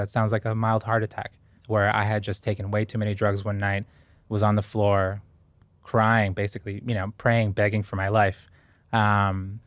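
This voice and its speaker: A man's voice: fairly low pitch, no room sound. A man in his thirties from Ecuador, a podcast guest talking earnestly and thoughtfully about his past, with frequent fillers and restarts. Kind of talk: reflective interview talk.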